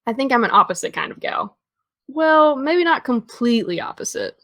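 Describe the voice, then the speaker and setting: A fairly high voice, recorded dry: a young woman playing a guarded American teenage girl. She is wry and understated, with a dry wit, in natural audio drama dialogue. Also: expressive, normal speed, fairly bright tone, no room sound. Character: guarded, dryly witty, particular teenage girl.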